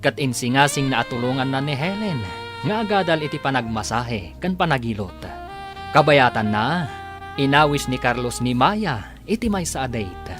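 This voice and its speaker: A Filipino-accented man announces and narrates a radio serial over prominent instrumental music. His delivery is dramatic and scripted, with wide swings in pitch. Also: animated, normal speed.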